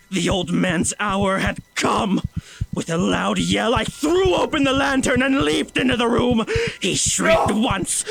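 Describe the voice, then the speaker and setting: A man's voice: high voice, vocal fry, slight background noise, full character voice, low-quality recording. A man playing a nervous, obsessive mad murderer in a gothic horror audio drama, speaking theatrically and stumbling over repeated words.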